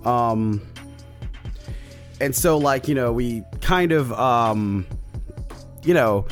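A man with a slightly hoarse voice casually recapping his tabletop campaign as a solo podcast host, rambling with many fillers and restarts. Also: mid-range voice, very slow, soft background music.

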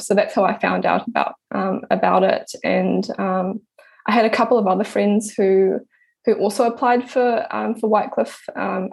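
Gravelly young New Zealand woman, hesitant. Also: mid-range voice, a little mellow. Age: 20s. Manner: hesitant, many ums and restarts. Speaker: guest, artist. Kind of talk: casual interview answers.

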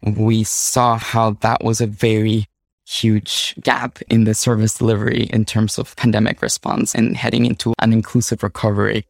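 A young man from Mexico, a native Spanish speaker with a Mexican Spanish accent, speaking measured in a documentary interview as a youth advocate. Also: lowish voice, little pitch movement, vocal fry, no echo, clean studio sound.

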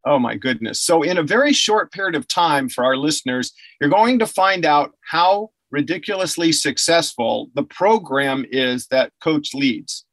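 A man's voice: a little high, animated, moderate pace, hardly any pauses, fairly bright tone, dry recording, rough recording. A man hosting an interview, chatty and enthusiastic, with many fillers and restarts. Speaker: podcast host interviewing athlete and coach. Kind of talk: casual interview.

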